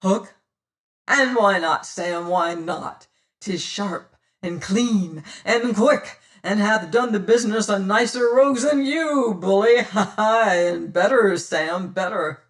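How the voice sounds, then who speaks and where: A reader voicing a cold, mocking male pirate captain who taunts and commands in a loud, drawn-out way. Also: mid-range voice, far from the mic. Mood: menacing. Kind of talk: audiobook character voice.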